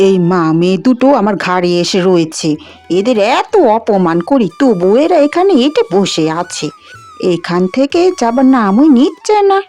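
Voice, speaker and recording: A woman voicing a cruel, resentful aunt in an animated fairy tale, scolding and complaining. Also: medium pitch, lightly acted. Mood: bitter complaining. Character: nagging, cruel aunt resentful of her dependants.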